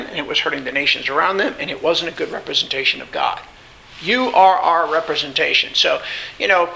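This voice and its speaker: A man's voice: sits high, gravelly, sharp tone, slight background noise, rough recording. A man preaching an informal Bible lesson in a didactic, conversational way, posing questions and answering them, repeating phrases for emphasis. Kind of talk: informal expository sermon.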